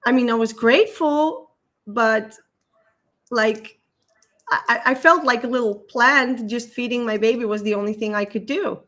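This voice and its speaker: A woman in her thirties with a Dutch accent, a guest telling a personal story in a halting, emotional way, often repeating words. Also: a little high, fairly bright tone. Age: 30s.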